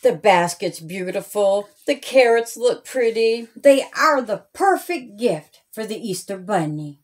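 A woman reading a rhyming children's story aloud in a deliberate, sing-song way, as a storyteller. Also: medium pitch, expressive.

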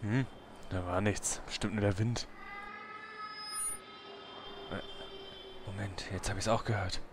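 A man's voice: fairly low pitch, huge pitch swings, slow pace, background noise, full character voice. A man playing a coarse, easygoing off-duty camp worker in an amateur horror audio drama, talking naturally and slangily. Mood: tense, urgent.

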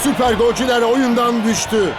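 A man playing a lively, dramatic male football co-commentator in a cartoon dub. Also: extremely high pitch, moderate pace, over a noisy crowd, very noisy. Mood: excited.

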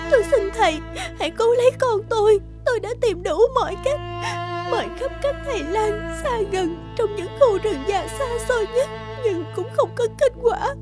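A gentle, devoted cartoon witch mother, pleads with emotion. Her voice is very high, with music clearly behind it. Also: lively intonation, normal speed. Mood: pleading. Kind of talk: cartoon dubbing.

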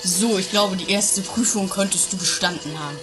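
An earnest, somewhat pompous instructor played by a woman with a mid-range voice. She gives haltingly placating directions in an improvised comic audio play, with music clearly heard behind her. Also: mid-range voice, natural voice.

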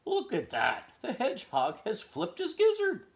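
A man voicing a noisy, mocking gander with a loud, honking delivery. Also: extremely high pitch, wildly swooping pitch, a little slow, pitched above the performer's own voice, full character voice.

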